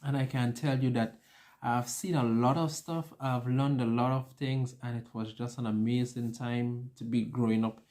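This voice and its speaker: A young man with a dark, mellow voice of ordinary pitch tells personal stories in a casual vlog style. He is chatty and conversational, and slips into quoting himself and others as he goes. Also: steady tone, moderate pace. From an island. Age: young adult. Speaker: vlogger. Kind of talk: casual personal storytelling to camera.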